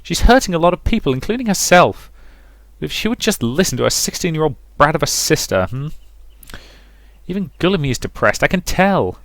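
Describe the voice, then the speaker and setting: Man putting on a brash, dramatic teenage girl, pitched up. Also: sing-song, a little fast, a bit bright, dry recording. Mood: indignant, blunt. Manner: emphatic, theatrical complaining. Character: brash, sweary, dramatic sixteen-year-old girl.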